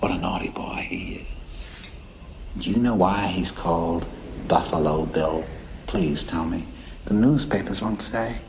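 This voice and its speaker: A man's voice: sits low, measured pace, long pauses, darkish tone, a little hiss or hum. A man playing a cultured, condescending killer in a film thriller, precise and mocking. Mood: mocking menace.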